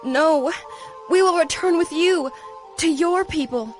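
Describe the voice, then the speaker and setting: A woman's voice: very high voice, slow, soft background music. A woman playing a devoted, resolute young widow in a dramatized audio Bible, speaking earnestly and emphatically. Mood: tearful insistence.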